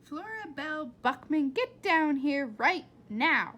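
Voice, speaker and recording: A woman voicing an irate, bossy mother in a storybook, loud and exasperated. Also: sits high, animated, slow, lo-fi sound, slight background noise, pitched above the performer's own voice, exaggerated voice. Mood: angry shouting. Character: irate, scolding mother, a professional writer.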